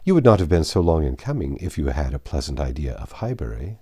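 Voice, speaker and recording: A male reader takes the part of a young gentlewoman in a classic novel, poised and playfully teasing. The voice is mellow and husky, with a lively lilt and courteous phrasing. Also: extremely low pitch, fast talker, heavily acted. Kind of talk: dramatised audiobook reading of a classic novel.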